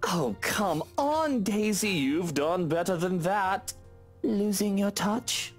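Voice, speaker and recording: A man's voice: high-pitched, lively intonation, takes it slowly, exaggerated voice. An actor playing an arrogant, impatient man in a visual novel game, with a sarcastic, haughty manner. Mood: mocking. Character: arrogant, grudging, impatient man with a soft spot. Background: game music.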